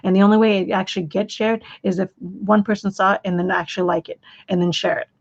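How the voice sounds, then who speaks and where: A woman's voice: mid-range voice, level tone, fast talker, lo-fi sound. A woman hosting a live stream, talking in a rambling way, often repeating words and restarting her sentences. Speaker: livestream host.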